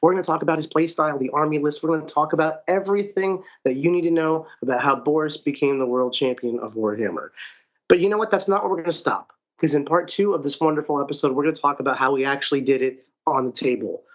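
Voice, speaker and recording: A man hosting a podcast, talking in an excited, effusive way and repeating phrases for emphasis. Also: on the high side, fast talker, lo-fi sound. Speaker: podcast host. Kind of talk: enthusiastic podcast chat.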